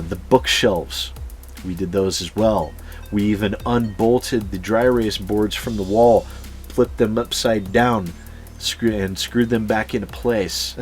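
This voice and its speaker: A man telling personal anecdotes casually as a channel host, swearing freely and chuckling as he goes. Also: low voice. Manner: sweary, chuckles through his stories. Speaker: YouTube storyteller and channel host.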